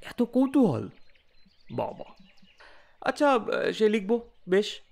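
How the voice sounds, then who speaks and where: Male actor as reluctant, wry writer for comic audio play; lively swings, slightly high, teasing. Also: slow pace, creaky, exaggerated voice. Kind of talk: comic audio drama dialogue.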